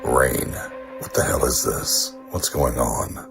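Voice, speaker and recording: A man playing a gruff, flirtatious investigator working undercover in an audio drama, muttering tersely. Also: rumbling low, steady tone, slow, over music, heavily acted. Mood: alarmed.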